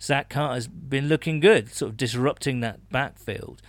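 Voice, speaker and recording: Man in halting sports podcast chat. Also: medium pitch, no echo. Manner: halting, repeats words. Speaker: podcast co-host.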